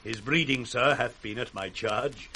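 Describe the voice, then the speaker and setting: A man's voice: medium pitch, measured pace, bright, forceful tone. An actor playing a bawdy, worldly older British nobleman in a jocular, courtly manner for 1960s radio Shakespeare. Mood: jocular, boastful. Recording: faint hiss, old radio recording.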